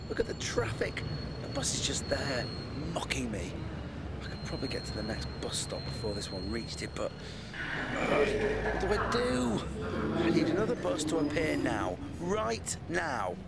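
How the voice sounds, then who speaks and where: A man's voice: mid-range voice, huge pitch swings, normal speed, creaky, over loud music. An actor playing an anxious, self-doubting young Englishman thinking aloud in an audio drama, fretful and muttering. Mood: fretful urging. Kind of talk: audio drama inner monologue. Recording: very noisy street and traffic background.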